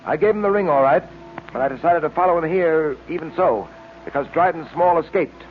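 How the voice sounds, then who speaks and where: An actor playing a shrewd, authoritative police detective with a husky voice and clipped, firm delivery in an old radio drama. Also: male voice, on the high side, fairly quick, a little hiss or hum, lightly acted. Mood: firm, confident. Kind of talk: 1940s radio drama acting. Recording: tinny, band-limited old radio recording.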